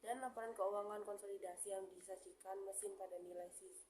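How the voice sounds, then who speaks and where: Mellow-voiced young woman presenting. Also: medium pitch, steady tone. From Indonesia. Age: young adult. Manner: halting, stumbles over English terms. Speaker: student presenter. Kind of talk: class presentation, reading accounting slides aloud. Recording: roomy echo, faint background noise.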